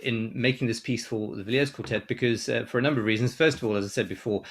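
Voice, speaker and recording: A man's voice: medium pitch, quick pace. A man from South Africa, a composer giving a conference talk in a hesitant way, with many ums and restarts. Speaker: composer and lecturer. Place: online symposium.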